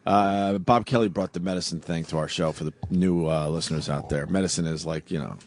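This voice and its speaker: A man hosting morning talk radio, crude and joking, laughing often, with a voice he says reflux has deepened. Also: a little fast, dry recording. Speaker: radio show host. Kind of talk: casual morning radio banter. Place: radio studio.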